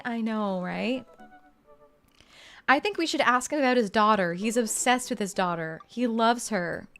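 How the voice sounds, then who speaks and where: Young female streamer, chatty and bubbly, a bit high. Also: fairly bright tone. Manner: bubbly, chatty, reads game text aloud. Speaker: gaming streamer. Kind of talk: casual let's-play commentary.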